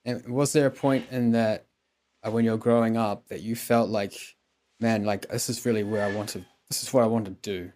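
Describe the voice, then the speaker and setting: A man hosting a podcast who introduces the show and asks the guest questions in a relaxed, conversational way. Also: fairly low pitch, moderate pace. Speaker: podcast host.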